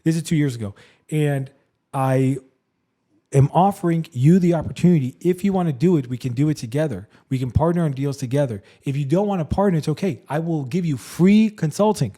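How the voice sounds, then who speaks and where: Male podcast host, rapid and mellow, chatty, effusive, leans on repeated words for emphasis. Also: on the high side. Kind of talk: casual interview chat.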